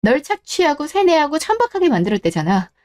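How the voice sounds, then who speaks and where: A woman's voice: high voice, sing-song, quick pace, darkish tone. A woman playing a fed-up wife in a melodramatic radio drama, firm, cold and sarcastic. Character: cold, resolute, fed-up wife standing up for herself.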